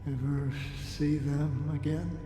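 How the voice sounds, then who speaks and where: Weary dead man for a game: very slow, halting, sorrowful, reverberant in heavy rain. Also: a little high, over music. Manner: halting, broken phrases with long pauses. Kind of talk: video game cutscene acting. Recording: echoey reverberant space, loud steady rain.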